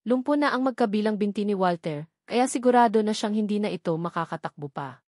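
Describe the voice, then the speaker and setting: Female audiobook narrator, mid-pitched, reading scripted dramatic fiction in a dry recording. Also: expressive, fairly quick, a little mellow, no echo.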